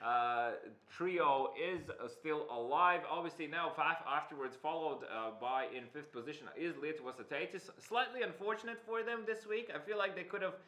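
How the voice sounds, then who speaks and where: A male esports caster with a bright, forceful voice set a little high. He talks in an upbeat, chatty way. The sound carries a roomy studio echo. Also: normal speed, hardly any pauses. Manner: upbeat, wraps up with cheerful sign-offs. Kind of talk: live esports commentary.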